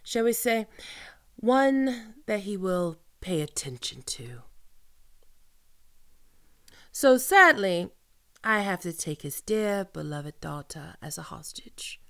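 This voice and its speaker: Woman acting a gravelly, swaggering pirate captain woman. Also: mid-range voice, very animated. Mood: proud, mocking. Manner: theatrical, teasing, drawn-out menacing delivery with archaic turns of phrase. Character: swaggering female pirate captain, commanding, mocking, seductive. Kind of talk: ASMR roleplay acting.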